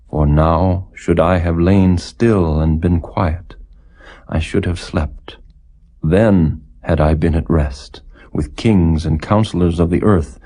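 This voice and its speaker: Man as deep, solemn, anguished Job. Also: dark tone. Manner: solemn, rhetorical. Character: Job, anguished, steadfast man lamenting his birth. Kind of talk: dramatized scripture reading.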